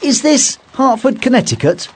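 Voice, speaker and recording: A man's voice: very high voice, big pitch swings, normal speed, full character voice. A man playing a blunt, hot-tempered, bewildered working man in a dramatized storybook. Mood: puzzled. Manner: blunt, exclamatory. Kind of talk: acted dialogue in a dramatized storybook. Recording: long hall reverb.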